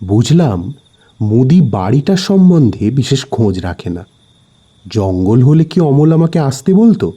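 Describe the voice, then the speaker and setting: Slow, dark-voiced male storyteller. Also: medium pitch, very animated, breathy edge. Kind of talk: suspenseful horror audio story narration.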